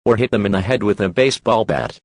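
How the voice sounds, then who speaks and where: A man reading a scripted emergency alert broadcast in a steady, formal announcing manner. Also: on the low side, quick pace, no echo. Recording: music playing behind.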